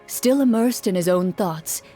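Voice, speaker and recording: A woman narrating a game scene in a slow, hushed, descriptive way. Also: mid-range voice, animated, soft background music. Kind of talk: scripted story narration.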